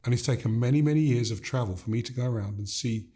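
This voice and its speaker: An older man from Gambia speaks as a guest in an interview, measured and emphatic. He stacks his points in repeated phrases. His voice sits mid-range and sounds mellow and warm. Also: mid-range voice. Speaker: guest, leadership coach. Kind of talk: reflective interview conversation.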